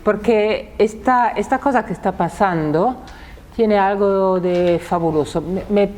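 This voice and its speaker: A woman's voice: mid-range voice, unhurried, darkish tone. A woman in her sixties, a native Italian speaker with an Italian accent, giving a public talk and often repeating words as she searches for them. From Italy.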